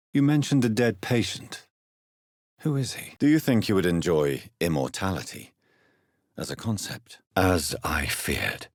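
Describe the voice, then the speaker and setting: A brooding vampire doctor for a video game. He is a gentleman with a very low, husky voice that moves expressively. His speech is formal, grave and carefully articulated. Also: no echo, clean studio sound, exaggerated voice. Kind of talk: dramatic video game dialogue.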